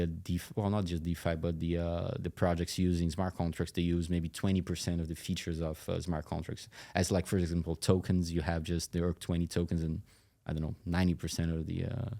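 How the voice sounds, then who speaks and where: A man explaining things conversationally as an interview guest, in a halting way with frequent asides. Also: low voice, mellow. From Brazil. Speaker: tech company CEO, interview guest.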